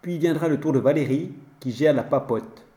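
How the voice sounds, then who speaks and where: A man presenting a report and asking interview questions in a calm, measured way. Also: a little high, roomy sound. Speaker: radio reporter.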